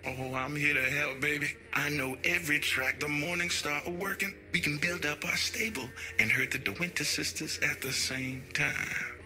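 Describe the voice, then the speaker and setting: A flamboyant, crude pimp from a video game. His autotuned voice box makes him high and piercingly bright. He is slangy and laid-back, with faint music behind him. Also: moderate pace. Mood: easygoing. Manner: slangy, smooth-talking.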